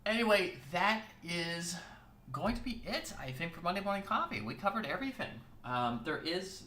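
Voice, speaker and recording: A man hosting a weekly casual chat show, talking in a rambling way with lots of fillers and restarts, his voice hoarse. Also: high voice, very animated, normal speed, pushed and bright, reverberant, a little hiss or hum. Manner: rambling, filler-heavy, self-correcting. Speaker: host.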